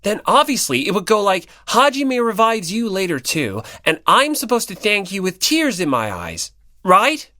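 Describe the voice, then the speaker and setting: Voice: medium pitch, big pitch swings, normal speed, bright, forceful tone, no room sound, clean studio sound, pitched above the performer's own voice. A performer voicing an earnest, easily flustered, hot-headed teenage boy in an audiobook, with blustering, emphatic delivery. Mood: indignant, argumentative. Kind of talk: dramatic audiobook character dialogue.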